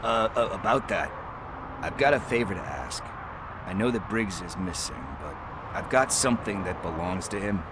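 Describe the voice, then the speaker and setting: A man's voice: deep voice, expressive, normal speed, noisy background. A man playing a chatty junkie street informant and petty thief in a video game, wheedling in slangy, folksy, hesitant talk. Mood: chatty, pleading. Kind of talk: video game cutscene acting.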